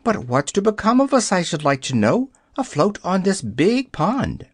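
A reader voicing a talkative female yellow hen in a storybook, with a small, sharp, distinct voice. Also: medium pitch, sing-song, slightly rough, no room sound, clean studio sound, pitched above the performer's own voice. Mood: fretful. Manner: quick, fussy, sharp and distinct. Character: chatty talking yellow hen, female, fussy.

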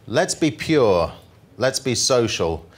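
A middle-aged man reading poetry aloud to a room with deliberate, mock-solemn phrasing, speaking into a handheld microphone. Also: medium pitch, sing-song, very noisy. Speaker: journalist reading poems at a workshop. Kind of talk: live poetry reading with casual asides to an audience. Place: indoor presentation room with projection screen.

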